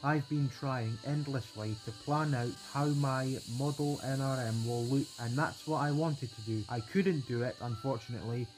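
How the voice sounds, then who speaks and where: A man's voice: medium pitch, normal speed, few pauses, darkish tone, faint music behind, lightly acted, poor audio. A man playing an eager, chatty male train traveller in a story, earnest and exclamatory.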